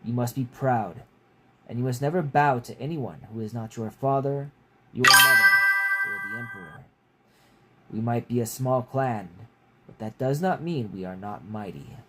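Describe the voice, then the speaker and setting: A man reading a story aloud as a proud, stern father with a deep, rumbling, formal and commanding voice. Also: animated, normal speed, close to an everyday voice. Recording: clear background noise.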